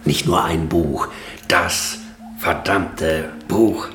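An actor playing a grandiose, commanding boss in a radio drama, declaiming with pompous, visionary emphasis. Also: male voice, deep voice, fairly even intonation, drawn-out words, a bit bright, reverberant, a little hiss or hum, full character voice. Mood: impassioned.

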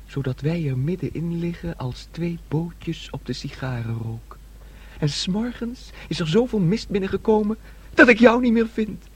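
An actor in a Dutch radio play playing a childlike, eager, dreamy young man, naive and insistent, with a husky voice. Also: fairly high pitch. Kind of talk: Dutch radio drama acting.

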